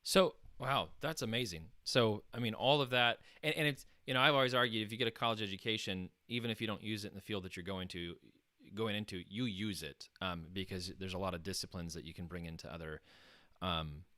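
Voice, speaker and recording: A man's voice: on the low side, fairly quick, bright, forceful tone, no room sound, studio quality. A man hosting an interview, reacting with enthusiasm and thinking aloud with frequent fillers. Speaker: interview host. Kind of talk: conversational interview.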